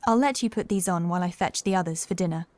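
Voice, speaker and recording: A woman's voice: lowish voice, fast talker, a little mellow, dry recording, natural voice. A woman playing a gracious, insistent housekeeper in a horror audiobook, speaking with polite, proper manners. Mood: pleased, polite. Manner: polite, genteel, proper phrasing. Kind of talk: acted audiobook dialogue.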